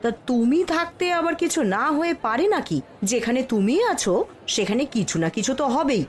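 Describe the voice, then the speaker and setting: A woman's voice: on the high side, animated, a little fast, a little hiss or hum, close to an everyday voice. A woman playing a stern wife in a cartoon story, nagging and reproachful as she lectures her husband. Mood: scolding, warning. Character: stern, scolding wife of a proud shopkeeper.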